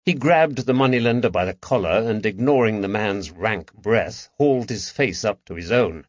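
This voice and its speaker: A man's voice: lowish voice, expressive, moderate pace, dry recording, highs cut off. A man narrating a story as a scripted, dramatic audiobook reading.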